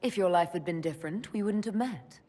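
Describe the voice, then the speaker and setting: A woman playing a composed, gentle elf warrior leader in a video game, speaking calmly and sincerely. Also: lowish voice, fairly quick, natural voice. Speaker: video game character voice actor. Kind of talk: dramatic game dialogue.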